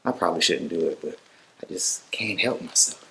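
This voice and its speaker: A man's voice: low voice, moderate pace, vocal fry, pushed and bright, a little hiss or hum, full character voice, poor audio. A man acting a creepy, mock-friendly killer toying with a captive in a dramatic monologue. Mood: quiet menace. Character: unsettling, taunting male killer toying with his captive.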